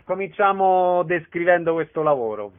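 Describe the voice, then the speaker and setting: Guy interviewing theatre guests on radio, voice a bit on the high side. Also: expressive, normal speed, phone-quality sound.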